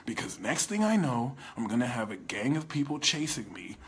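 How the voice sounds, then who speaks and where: A man's voice: mid-range voice, big pitch swings, moderate pace, fairly bright tone, husky, full character voice. A man voicing an exasperated, profane Jesus who scolds someone he healed for telling everyone. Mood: annoyed.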